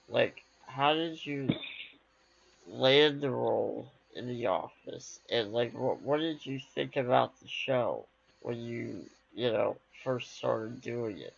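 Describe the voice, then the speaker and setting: A male interviewer asks hesitant, filler-heavy questions at an unhurried pace. His voice carries a gravelly rasp. The recording sounds a little dull and lo-fi. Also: mid-range voice, animated, fairly bright tone. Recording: slightly dull lo-fi mic.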